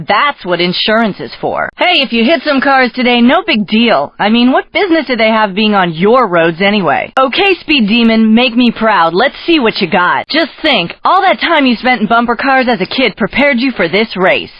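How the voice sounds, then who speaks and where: A woman's voice: a little high, very animated, normal speed, few pauses, dry recording, dull audio. A woman playing a sassy, cocky street racing coach in a video game, pushy and full of wisecracks. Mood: cocky, goading.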